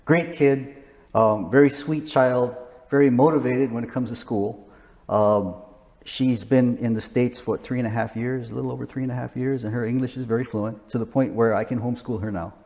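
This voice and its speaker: A man's voice: medium pitch, fairly even intonation, moderate pace, darkish tone, in a large hall. A man in his sixties, a missionary, talking casually in an interview with frequent restarts. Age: 60s. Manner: rambling, frequent restarts and chuckles.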